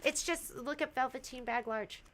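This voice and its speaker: A woman's voice: high voice, moderate pace, a bit bright. A woman hosting a casual livestream from a sewing shop, chatty and teasing, giggling as she shows products.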